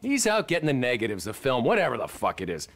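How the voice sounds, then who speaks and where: An actor playing a smug, gloating rich investor villain with an American accent in a video game, slick and patronizing. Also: male voice, mid-range voice, wildly swooping pitch, fast, fairly bright tone, heavily acted. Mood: boastful. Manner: slick, self-satisfied, patronizing. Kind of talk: video game cutscene acting.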